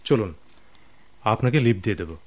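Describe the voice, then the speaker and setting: A man with a Bangladeshi accent playing a scheming, smug senior company officer in a radio drama, sly and controlled with quiet menace. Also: on the low side, lively intonation, normal speed.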